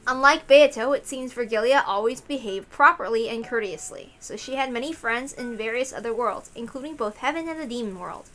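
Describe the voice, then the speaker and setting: A woman's voice: a little high, a little fast, hardly any pauses, fairly bright tone. A woman reading a visual novel aloud as a narrator, in a storytelling style. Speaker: reader of a visual novel for an audience.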